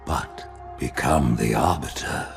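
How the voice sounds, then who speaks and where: A man playing an imperious old alien high prophet in a video game, speaking in stately, measured pronouncements with a gravelly depth. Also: over music. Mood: solemn, commanding. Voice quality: very deep, gravelly. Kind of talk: dramatic video game cutscene acting.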